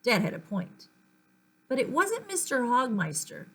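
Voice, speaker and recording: A woman reading a children's story aloud in an expressive, dramatic storytelling manner. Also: fairly high pitch, measured pace, creaky. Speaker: audiobook-style reader.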